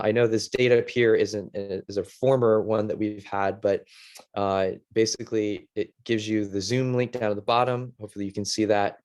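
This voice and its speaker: A middle-aged American man from Ohio giving an informal online presentation as a former teacher, in a casual, halting way with many fillers. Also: fairly low pitch, darkish tone. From Ohio, United States. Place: video call.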